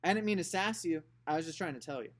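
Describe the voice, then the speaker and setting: A man's voice: a little high, expressive, quick pace, a bit bright, pitched above the performer's own voice. A man voicing a defiant young girl who argues her side, raised and indignant.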